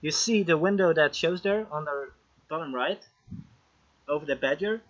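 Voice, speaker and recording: A man's voice: on the high side, moderate pace. A man hosting a casual live stream on a video call, chatty and joking while fighting technical trouble. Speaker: host. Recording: slightly dull lo-fi stream audio.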